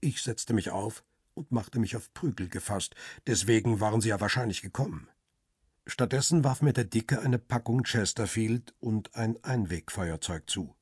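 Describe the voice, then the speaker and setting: A jailed paparazzo narrates a radio crime story. His voice is low and very husky, recorded close and dry. He sounds wary and uneasy. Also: moderate pace, darkish tone, no echo. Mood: weary, wary. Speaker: narrator and lead actor. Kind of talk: radio crime drama, first-person narration.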